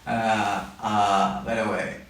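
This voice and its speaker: A man's voice: fairly low pitch, level tone, slow, fairly bright tone. A young man from Japan, a dancer and choreographer, introducing himself hesitantly with many fillers. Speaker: boy band dancer and choreographer. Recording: echoey room, mic at a distance.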